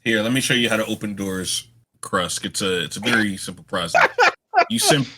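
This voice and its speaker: A man's voice: fairly low pitch, normal speed, noisy background, natural voice. A man playing a brash, callous, easily bored adventurer in a tabletop game, blunt and mocking. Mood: condescending.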